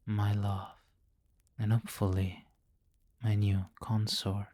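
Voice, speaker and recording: A man playing a possessive, teasing vampire king in an intimate ASMR roleplay, commanding and breathy, often slipping into whispers on a close mic. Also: on the low side, little pitch movement, slow, warm tone. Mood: tender, possessive. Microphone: close microphone, dry.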